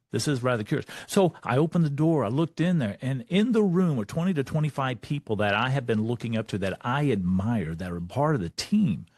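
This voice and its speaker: A man's voice: medium pitch, no room sound, studio quality. A man, telling a motivational story as a speaker and coach over an online call. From Texas. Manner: lively storyteller, animated, pausing to check notes.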